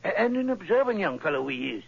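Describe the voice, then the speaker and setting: A chatty, rambling pawnbroker. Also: male voice, sits high, big pitch swings, quick pace, creaky, slightly rough, full character voice. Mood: telling his story. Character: Jabez Wilson, talkative, simple middle-aged pawnbroker. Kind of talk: old-time radio drama. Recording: dull lo-fi vintage radio recording.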